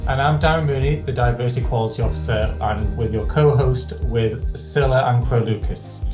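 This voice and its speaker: Male podcast host, mid pitch, halting delivery; echoey room with music clearly behind. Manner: halting, a little stumbling. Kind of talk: interview hosting.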